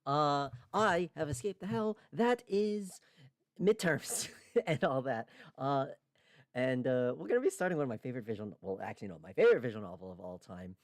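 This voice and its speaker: Lively male streamer, close dry sound. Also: mid-range voice, moderate pace, no echo. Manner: informal, swears freely. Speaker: streamer and host. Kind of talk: casual livestream chat.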